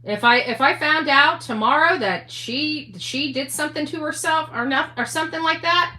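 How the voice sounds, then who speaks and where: An echoey recording of a woman with a fairly high, bright voice. She rants casually, repeating herself and restarting phrases with emphasis. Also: moderate pace. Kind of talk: casual spoken rant. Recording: echoey room sound.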